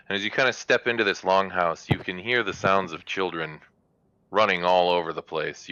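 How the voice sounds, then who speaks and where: Very deep-voiced man running a game. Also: bright, forceful tone, clear, resonant tone, no room sound, highs cut off. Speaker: game master. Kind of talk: narrating a tabletop roleplaying game.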